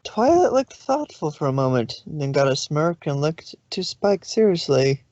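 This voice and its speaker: A storyteller narrates scripted fiction. The voice is mid-pitched, and the recording is dry and a little dull. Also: big pitch swings, measured pace, a little mellow, dry recording.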